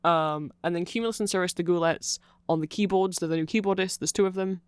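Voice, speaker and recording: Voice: medium pitch, quick pace, smooth, no room sound. A person giving an informal, rambling ASMR-style explanation full of asides, close to the microphone. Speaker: ASMR audio creator.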